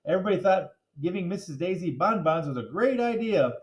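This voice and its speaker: A man's voice: high voice, lively intonation, a little fast. A man reading a children's chapter book aloud, with playful, jokey asides to his listeners. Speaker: reader.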